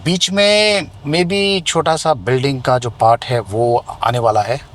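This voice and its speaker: A man's voice: mid-range voice, takes it slowly, a bit bright. A man with an Indian accent giving a conversational, explanatory hands-on product review outdoors. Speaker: tech reviewer and vlogger. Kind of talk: hands-on product review, mixing Hindi and English. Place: outdoors near residential buildings. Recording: noisy outdoor ambience.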